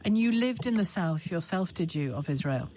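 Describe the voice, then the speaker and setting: A woman hosting a live phone-in talk radio show, speaking haltingly with many restarts as she gently prompts callers. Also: fairly low pitch, lively intonation, slight background noise.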